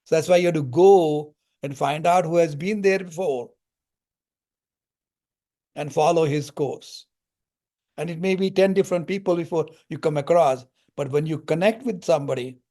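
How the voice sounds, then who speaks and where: Older male lecturer with a slightly high voice, calm and parable-driven, teaching spiritual texts. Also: moderate pace. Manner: calm, storytelling explanations with Sanskrit terms.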